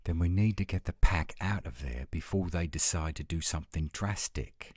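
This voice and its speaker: A man's voice: low voice, moderate pace, darkish tone, dry recording, clean studio sound. A man playing a testy, headstrong werewolf, terse and challenging, in an audio roleplay drama. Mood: tense, urgent. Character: testy, headstrong male werewolf, protective of his pack.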